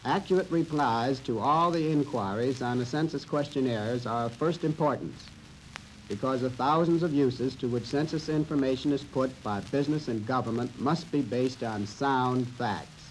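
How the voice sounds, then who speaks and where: Middle-aged American male official, formal scripted address, medium pitch, light crackle of an old soundtrack. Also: moderate pace. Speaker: census director giving an address.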